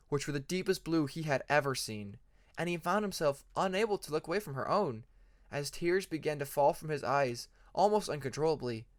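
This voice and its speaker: A man narrates a story from a script with earnest drama. His voice is a little higher than average for a man. It is recorded close and very dry, with no room sound. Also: no echo. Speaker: audiobook narrator.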